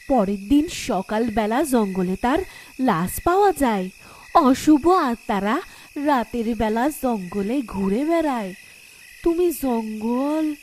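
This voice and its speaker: A woman's voice: high-pitched, a little mellow, a little hiss or hum, voice pushed up. A woman voicing an astonished, fearful village in-law in a horror cartoon, drawing out her questions and warnings.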